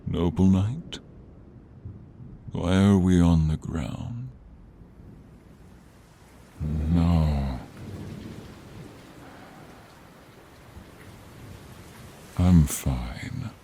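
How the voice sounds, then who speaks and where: A man voicing a weary ancient dragon, noble and gentle, with solemn, formal speech in a dramatic fantasy ASMR roleplay. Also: slight background noise, exaggerated voice. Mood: fading, tender. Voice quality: deep voice. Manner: slow, solemn, formal, archaic phrasing. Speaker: voice actor and narrator.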